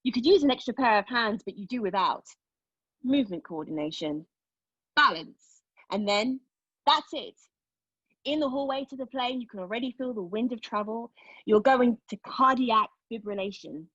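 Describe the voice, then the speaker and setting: A woman acting a dramatic monologue as a wounded, reflective woman, telling her story intimately with pauses and emphasis. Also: fairly high pitch, animated, fairly bright tone, breathy edge, no echo, lo-fi sound. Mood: excited, absorbed. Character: wounded, reflective woman recounting lost love and travel. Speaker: actor in a play table read.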